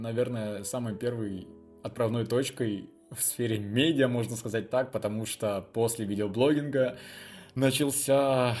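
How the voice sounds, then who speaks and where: A man's voice: mid-range voice, darkish tone. Young man rambling casually over music. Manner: chatty, rambling, thinking aloud. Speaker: video blogger hosting his channel. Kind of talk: casual unscripted storytelling to viewers.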